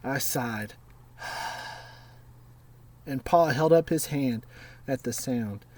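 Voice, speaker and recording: Unhurried man reading a storybook aloud. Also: medium pitch, recorded from a distance, a little hiss or hum. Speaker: storybook reader. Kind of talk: read-aloud children's storytelling.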